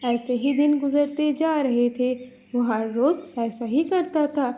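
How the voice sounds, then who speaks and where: High-voiced, slow-paced woman storyteller. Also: warm tone. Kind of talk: scripted children's story narration.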